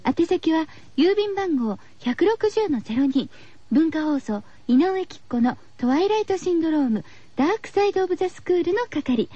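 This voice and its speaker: Very high-voiced, girlish woman radio host. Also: animated, moderate pace, warm tone, no room sound. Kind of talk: casual, chatty radio talk to listeners. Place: radio studio. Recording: slightly dull, lo-fi radio recording.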